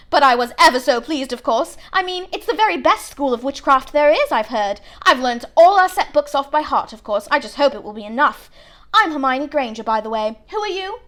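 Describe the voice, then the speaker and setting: A woman plays a bossy young girl from a storybook, high in pitch and very sure of herself. She chatters eagerly like a born know-it-all, showing off what she has learnt. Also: expressive, fairly bright tone. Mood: eager, boastful. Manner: bossy, rapid, self-assured.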